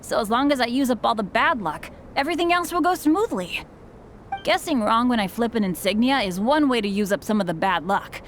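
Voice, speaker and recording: A woman's voice: on the high side, animated, brisk, slight background noise, lightly acted. A woman playing an earnest, unlucky teenage boy adventurer in an anime game, with a boyish voice. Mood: eager, hopeful. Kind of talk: anime video game voice acting.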